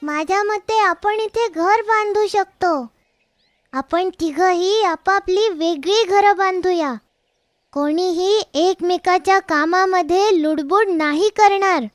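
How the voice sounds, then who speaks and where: Squeaky, stubborn little piglet. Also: female voice, slow, no echo, clean studio sound. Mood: stubborn, insistent. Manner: insistent, childlike. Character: stubborn young piglet, eldest of three brothers. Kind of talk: children's cartoon story character voice.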